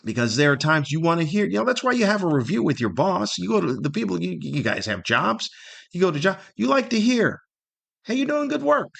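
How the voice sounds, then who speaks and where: A man's voice: high-pitched, very animated, brisk, gravelly, a bit bright. American man, rambling casual podcast talk. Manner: rambling, conversational, acts out imagined remarks. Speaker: podcast host and comedian. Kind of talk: casual solo podcast monologue.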